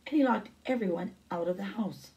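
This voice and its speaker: A woman's voice: mid-range voice, fairly quick, warm tone, far from the mic, a little hiss or hum, poor audio. A middle-aged woman reading a picture book aloud as a teacher, with brief asides.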